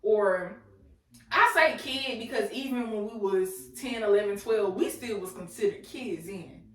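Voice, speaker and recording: A woman's voice: mid-range voice, measured pace. A woman in her late twenties co-hosting a podcast, chatting loosely and telling stories with lots of laughter. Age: 20s. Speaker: podcast co-host. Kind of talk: casual chat. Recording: echoey room, mic at a distance.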